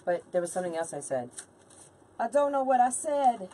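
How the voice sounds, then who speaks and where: A woman's voice: mid-range voice, sing-song, moderate pace, mellow, recorded from a distance. A woman in her late forties chatting casually and informally as she hosts a craft livestream, bantering with viewers and a helper. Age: late 40s. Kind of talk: casual live chat while crafting.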